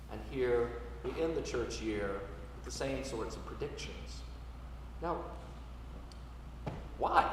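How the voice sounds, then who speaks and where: A man delivers a church sermon from some distance, his voice ringing through a long, heavy reverb with faint noise behind it. He is a priest speaking in measured phrases, pressing hard on key words and then falling back to a quieter tone. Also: medium pitch. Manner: measured, rhetorical delivery with emphatic swells and quiet drops. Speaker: Episcopal priest preaching. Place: large church interior, live service.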